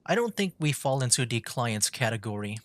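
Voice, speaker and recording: Very low-pitched woman streamer, dry delivery. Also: sing-song, fairly quick, dry recording. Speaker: livestreamer playing a game. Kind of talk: casual gameplay commentary. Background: game music.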